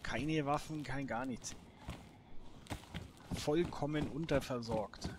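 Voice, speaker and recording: A man's voice: medium pitch, slow pace, background noise. A man giving casual gameplay commentary, thinking aloud in a colloquial, musing way. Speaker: gamer, let's play host.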